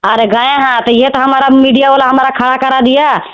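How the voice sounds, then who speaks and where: A woman's voice: sits high, level tone, moderate pace, smooth. A woman with a Jharkhand Bhojpuri-influenced Hindi accent, complaining defensively in a heated phone conversation. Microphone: phone call. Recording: dull lo-fi recorded phone call.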